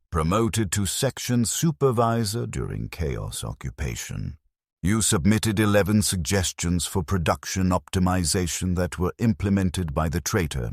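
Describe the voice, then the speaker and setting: A man plays a cold tech priest interrogator from a dark sci-fi audio story, with an extremely deep, husky voice. He pronounces judgment formally and sternly, his pitch moving with zealous weight. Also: no room sound, clean studio sound, full character voice.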